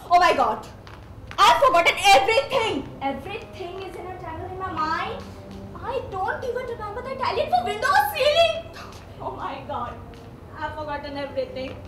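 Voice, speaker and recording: A young woman worn down and close to tears, played by an actress, speaks slowly in a very high voice. The sound is echoey, as if caught on a stage. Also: big pitch swings, heavily acted. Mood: weeping. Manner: tearful, anguished outbursts. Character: young woman, 23, weary and despairing. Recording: echoey stage audio, faint hum.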